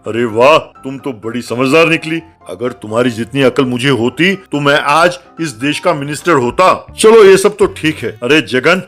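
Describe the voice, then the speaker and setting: A man's voice: a little high, a bit bright, lightly acted. A man voicing a cocky, scheming thief fresh out of jail in a comedy cartoon. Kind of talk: Hindi comedy cartoon dialogue.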